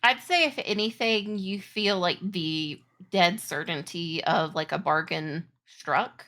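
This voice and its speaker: Bright-voiced, slow-paced woman game master. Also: on the low side. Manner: halting, searching for words, repeats "like". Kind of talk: improvised narration of a tabletop game.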